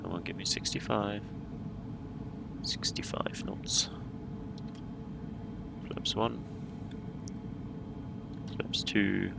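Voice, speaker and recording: A man's voice: on the low side, fairly even intonation, very slow, gravelly, pauses often, in a whisper. A man giving casual running commentary while flying a simulated plane, calling out checklist steps with playful asides. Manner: talks through checklists and landings step by step, playful asides. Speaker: flight simulator streamer.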